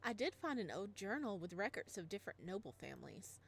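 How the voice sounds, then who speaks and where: A woman's voice: mid-range voice, sing-song, vocal fry, slightly rough, heavily acted. Woman reading a cheerful teasing girlfriend-type. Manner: brisk and teasing. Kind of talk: reading a visual novel aloud.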